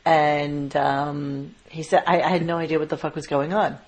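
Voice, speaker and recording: Raspy, emphatic man in casual chat. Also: fairly high pitch, flat delivery, moderate pace. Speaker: podcast co-host.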